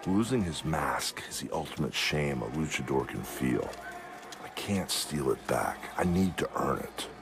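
Deep, gravelly, vengeful luchador. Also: male voice, very animated, unhurried, full character voice. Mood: solemn resolve. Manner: solemn, intense, dramatic. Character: grim, vengeful, proud male luchador wrestler.

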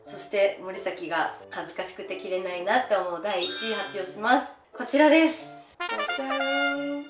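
A woman's voice: mid-range voice, normal speed. A young woman chatting casually to camera as she presents, with an exclamatory, bashful manner. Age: young adult. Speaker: gravure idol presenting outfits. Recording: roomy echo from camera distance. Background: faint music.

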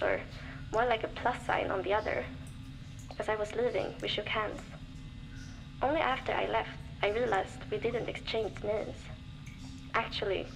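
An actress playing a young woman survivor, reflective and determined, recording a measured audio diary for a video game. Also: medium pitch, gravelly, lightly acted, rough recording. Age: young adult.

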